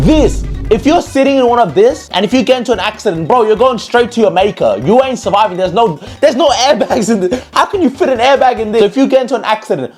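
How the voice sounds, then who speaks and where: A young man from Pakistan telling his own life story as a vlogger in a casual, jokey, slangy way. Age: young adult. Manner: animated, jokey, slangy, with swings in pitch.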